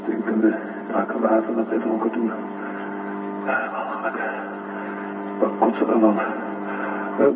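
A man's voice: fairly low pitch, completely flat delivery, a little slow, pauses often, lightly acted. Man acting a tormented astronaut, echoey and distant. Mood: muttering, defiant. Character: tormented, exhausted male astronaut survivor, pleading and defiant. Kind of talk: radio drama acting. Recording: echoey distant dull radio recording, loud music.

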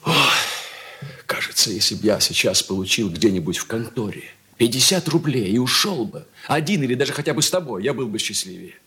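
A weary young merchant's son from a Soviet radio play. His voice is husky and very animated in pitch, moving between impatient dismissal and pleading. He sounds irritable and evasive. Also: fairly quick, full character voice. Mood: weary, upset.

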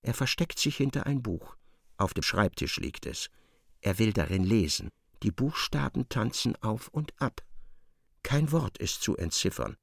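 An actor playing an intense storyteller, narrating a feverish tale for radio drama. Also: male voice, fairly low pitch, sing-song, a little slow, vocal fry, no echo, clean studio sound, heavily acted. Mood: tense.

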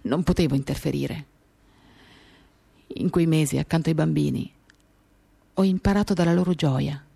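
A woman's voice: low-pitched, normal speed, gravelly, long pauses, dark tone, breathy edge. A woman reading a literary monologue for radio theatre in an intimate, dramatic, confiding way, in short phrases. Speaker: reader of a novel for radio theatre. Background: acoustic guitar music.

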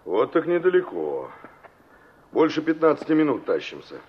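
An actor playing a cocky young carpenter in a Soviet radio play, brusque, teasing and colloquial. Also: male voice, a little high, wildly swooping pitch, normal speed, exaggerated voice. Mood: grumbling, ironic. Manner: mocking, colloquial, gruffly flippant. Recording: dull 1960s radio play recording.

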